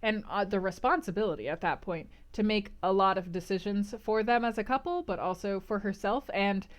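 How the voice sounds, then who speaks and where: A woman hosting a casual book club livestream, discussing and answering chat in an animated, emphatic, conversational way. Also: mid-range voice, fairly quick.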